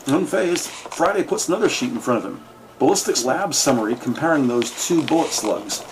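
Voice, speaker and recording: A man's voice: on the high side, lively intonation, a little fast, noisy background. A man narrating a scripted crime audio drama with vivid, slightly wry storytelling.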